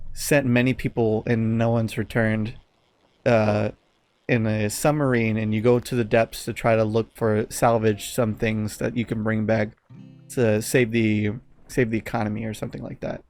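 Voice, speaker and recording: A man co-hosting a podcast in casual chat, halting as he searches for his words. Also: on the low side, a little mellow, dry recording. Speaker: podcast co-host.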